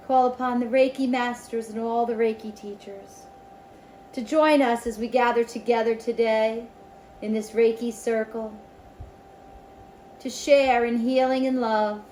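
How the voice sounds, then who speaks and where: A woman leading a spiritual circle in a slow, ceremonial manner, with guided meditation and invocations and pauses between phrases. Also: on the high side, steady tone, darkish tone, a little hiss or hum, poor audio. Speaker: Reiki circle leader.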